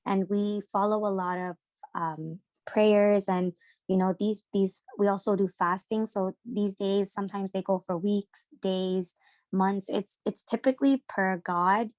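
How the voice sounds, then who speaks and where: A young woman from Sri Lanka, a podcast guest talking casually and haltingly, with many fillers and restarts. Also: mid-range voice, fairly even intonation, a little mellow, dry recording. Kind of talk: casual discussion.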